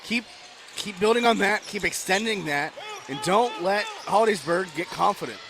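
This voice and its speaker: A man with a fairly high voice gives color commentary during a radio broadcast of a basketball game. He talks through what the team should do like a coach and sometimes trips over his own phrases. Loud gym noise fills the background. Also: animated, normal speed. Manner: coaching-style analysis with small restarts. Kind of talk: game analysis.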